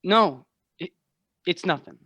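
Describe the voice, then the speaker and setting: Hesitant, haunted younger brother. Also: male voice, high voice, expressive, bright, forceful tone, no room sound, exaggerated voice. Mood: reluctant, uneasy. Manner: hesitant, halting. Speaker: actor in a radio play. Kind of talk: radio drama acting.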